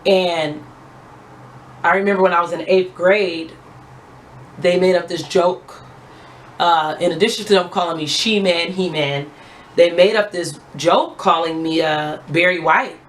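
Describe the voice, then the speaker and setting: A young woman with a raspy, low voice chatting heartfelt and casual as a beauty vlogger while doing her skincare at home. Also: unhurried, slight background noise, low-quality recording. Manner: chatty, heartfelt, thanks God often.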